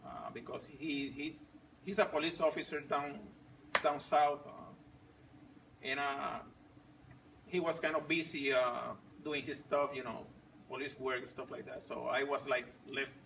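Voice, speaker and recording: A man in his forties, not a native English speaker, telling his story slowly with many pauses and restarts. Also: fairly high pitch, vocal fry, bright, forceful tone, recorded from a distance, a little hiss or hum, rough recording. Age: 40s. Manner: halting, many fillers and restarts. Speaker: fit club member sharing his story. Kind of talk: casual personal story.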